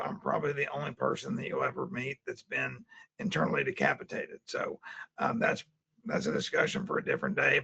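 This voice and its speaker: A man with a very raspy, strained voice, giving a webinar presentation as a CPA. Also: medium pitch, bright, forceful tone. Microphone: webinar call. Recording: dull lo-fi webinar audio.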